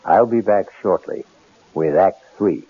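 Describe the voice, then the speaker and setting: A man acting as the grave host of a radio mystery anthology, narrating in a measured, theatrical, musing way. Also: low-pitched, background noise. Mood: ominous, musing. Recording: muffled old radio broadcast. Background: faint music.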